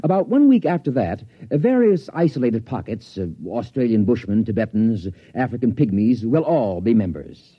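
An actor playing an unflappable, absent-minded scientist with a husky, musing delivery in a 1950s radio drama. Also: male voice, medium pitch, very animated, normal speed, hardly any pauses, no room sound. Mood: vague, musing. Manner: mild, hesitant, musing, professorial. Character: unflappable absent-minded male scientist, smugly detached doctor. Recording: dull, dry vintage radio recording.

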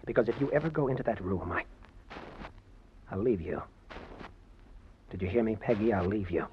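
An actor playing a haunted, evasive young violinist in an old radio melodrama, nervous and halting, often repeating himself. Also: male voice, on the low side, expressive. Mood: stern, agitated. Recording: dull, hissy old radio recording.

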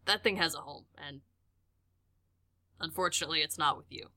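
A woman as a low, forceful male park director. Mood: grave, compassionate. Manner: deliberate, sighing, gently firm. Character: male park director, measured, compassionate, firm authority. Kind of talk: scripted audio drama dialogue.

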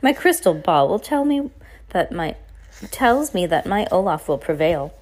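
A woman voicing a pleading, flattering fortune-teller from a storybook, with a vague foreign accent and theatrical broken English. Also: fairly low pitch, measured pace. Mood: soothing, flattering. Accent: exaggerated vague foreign.

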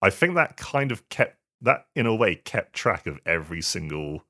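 A man's voice: sits low, sing-song, moderate pace, sharp tone. A man chatting casually about video games as a podcast co-host, often stammering and repeating words as he goes. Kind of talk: casual video game chat.

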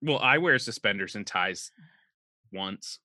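Male podcast co-host: sarcastic, rambling jokes, bright tense tone, dry close mic. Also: on the low side, expressive, a little slow, no room sound. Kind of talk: casual comedic chat reviewing a movie poster.

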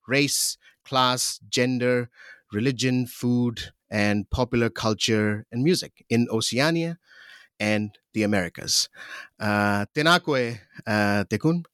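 A man from Pakistan hosting a relaxed academic interview, laughing often and hesitating with fillers and restarts. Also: medium pitch, no room sound, clean studio sound. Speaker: interviewer host.

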